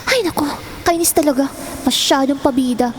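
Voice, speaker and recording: A woman's voice: high voice, normal speed, close to an everyday voice. A woman playing a resentful young daughter in a Filipino audio drama, whiny and grumbling but polite to elders. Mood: annoyed.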